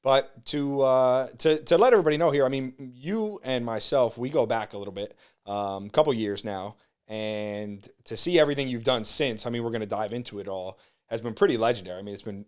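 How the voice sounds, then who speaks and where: A man's voice: medium pitch, clear, resonant tone. A man in his thirties hosting a casual podcast in a small studio, enthusiastic and full of superlatives. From New Jersey shore. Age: 30s. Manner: hyped, enthusiastic, talks in superlatives. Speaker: podcast host.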